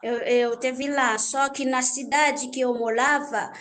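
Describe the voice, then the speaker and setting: A young Haitian woman with a Haitian accent, her first language Creole, telling her life story as a church worship minister, halting and repeating phrases as she searches for words. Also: sits high, steady tone, fairly bright tone, a little hiss or hum.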